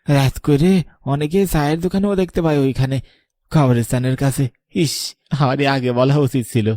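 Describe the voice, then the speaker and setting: A man's voice: mid-range voice, fairly even intonation, normal speed, mellow, dry recording, studio quality, close to an everyday voice. Man voicing an earnest villager. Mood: concerned. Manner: earnest, cautioning. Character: earnest, superstitious village man wary of witches. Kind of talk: horror cartoon dubbing.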